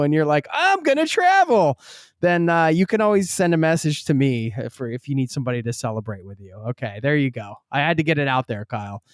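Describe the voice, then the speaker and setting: A man's voice: fairly high pitch, no room sound, clean studio sound. A man hosting a podcast, joking and asking questions in a playful way. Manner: joking, playful, laughs often. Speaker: podcast host. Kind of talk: casual interview chat.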